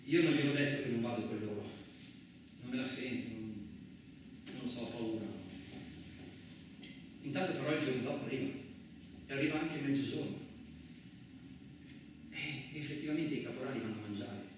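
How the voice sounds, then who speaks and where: An actor playing a frightened, injured farm labourer, telling his story in the first person as a halting, repetitive theatrical radio monologue. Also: mid-range voice, noisy background, lightly acted. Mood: hushed, fearful. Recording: very echoey, distant, loud music behind. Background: music.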